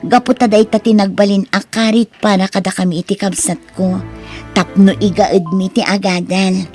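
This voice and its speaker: A woman with an Ilocano Filipino accent playing a gracious, forgiving young niece in an audio drama, speaking gently and earnestly. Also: mid-range voice, steady tone, moderate pace, faint music behind, natural voice.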